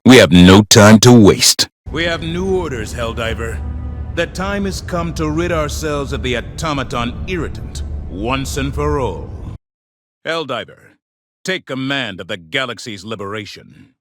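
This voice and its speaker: An actor playing a solemn, zealous military democracy officer in a video game, speaking in stern, formal, grandiose oratory. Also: male voice, mid-range voice, expressive, a little slow, music in the background, close to an everyday voice. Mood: urgent, commanding. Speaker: military officer and mission briefer. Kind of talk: scripted video game voice acting.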